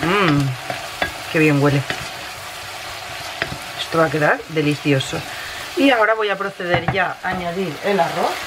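A woman in her thirties with a Spain Spanish accent, slightly hoarse from a cold, casually narrating a recipe as she cooks in her home kitchen. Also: on the low side, unhurried, long pauses. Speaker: home cooking vlogger. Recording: noisy kitchen with sizzling pan. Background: frying and stirring sounds.

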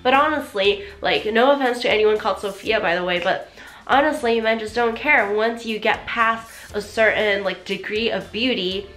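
A woman's voice: medium pitch, moderate pace, soft background music. Chatty woman with a strained, fading voice. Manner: animated, chatty, gestures a lot, many "like" fillers. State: losing her voice. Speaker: vlogger. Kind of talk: casual talk to camera. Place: small bedroom indoors.

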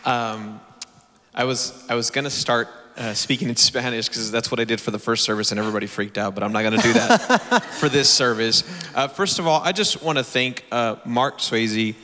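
A man in his thirties, a church drummer, introduces himself to a congregation on stage with a handheld mic, upbeat and grateful with quick asides. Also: medium pitch, lively intonation, bright, forceful tone. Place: church stage before an audience. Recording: long hall reverb.

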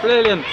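A man filming his son bowling on his phone, cheering every shot with excited praise. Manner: encouraging, exclaims praise. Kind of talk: cheering home video. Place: large indoor bowling alley. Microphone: phone camera held by the speaker. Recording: loud background noise.

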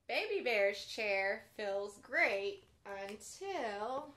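Bright, high-voiced young woman reading to children. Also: extremely slow. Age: young adult. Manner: expressive, addressing young listeners directly. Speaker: children's storytime host. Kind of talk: read-aloud picture book storytelling for children. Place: bedroom, sitting up in bed.

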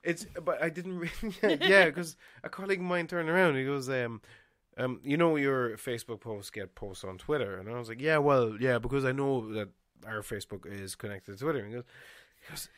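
Uomo sboccato che chiacchiera in podcast. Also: male voice, mid-range voice, brisk, few pauses, no room sound, clean studio sound. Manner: swears often, laughs a lot, animated hand gestures. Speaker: podcast host. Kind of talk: casual podcast chat. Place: small home studio with foam panels. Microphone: headphones and studio mic on boom arm.

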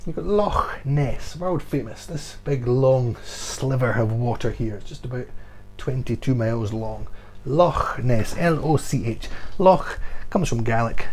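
A man's voice: mid-range voice, darkish tone. A middle-aged man with a Scottish accent, talking in an informal, explanatory way as a tour guide presenting to camera. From Scotland. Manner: explanatory, conversational. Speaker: tour guide and vlog host. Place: small furnished room indoors. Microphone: clip-on lapel mic.

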